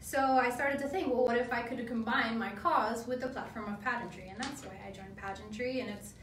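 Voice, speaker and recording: A young woman in her twenties from the Philippines and Australia, chatting upbeat and relaxed as a co-host of a live stream. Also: on the high side, fairly quick, slight background noise. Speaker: pageant titleholder co-hosting a live stream. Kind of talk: casual chat. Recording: echoey room, mic at a distance.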